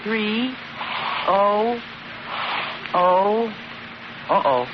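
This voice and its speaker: An actress playing a stubborn, scatterbrained young housewife in an old radio sitcom, with exaggerated comic delivery. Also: female voice, medium pitch, extremely slow. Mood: dismayed. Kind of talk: 1940s-50s radio sitcom acting. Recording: muffled, noisy old radio recording.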